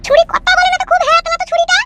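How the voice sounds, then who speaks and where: A woman voicing an exasperated, meddling older village mother-in-law in a cartoon comedy, scolding in a pitched-up voice. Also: flat delivery, rapid-fire, over loud music. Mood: inquisitive scolding. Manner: scolding, repetitive.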